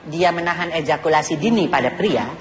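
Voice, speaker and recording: Low-voiced woman doctor in a noisy studio. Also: animated, fairly quick. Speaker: doctor, health expert guest on a talk show. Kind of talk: informal medical advice on a comedy talk show. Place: television studio with audience. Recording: noisy TV studio with loud audience. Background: audience noise and laughter.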